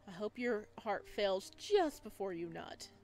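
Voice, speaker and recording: A woman's voice: mid-range voice, big pitch swings, a little slow, a little mellow. A crude, sassy best friend from a visual novel, acted out by a woman. The voice sits mid-range, teasing and dramatic in its banter, with music underneath. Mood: mock threatening. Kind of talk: visual novel dialogue read aloud.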